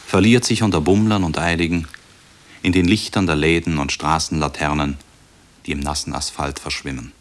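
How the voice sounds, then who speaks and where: A man performing as a measured, wistful narrator of a literary radio drama. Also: very deep voice. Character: grave male narrator observing a Christmas street scene.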